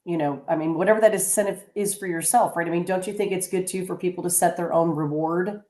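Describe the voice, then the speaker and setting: A woman hosting a radio talk show, chatty and halting, with many restarts. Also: fairly low pitch, fairly even intonation, darkish tone, echoey room. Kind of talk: casual radio chat.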